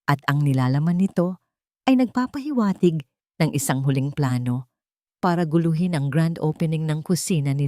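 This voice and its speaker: A woman narrates a scripted dramatic story in a measured, earnest manner. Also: low-pitched, dark tone, no echo, studio quality.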